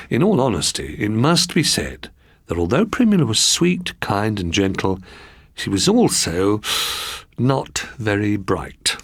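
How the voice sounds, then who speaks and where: An Englishman narrating a children's story in a wry, expressive storytelling manner. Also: on the low side, slightly rough, no room sound, studio quality. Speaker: storybook reader.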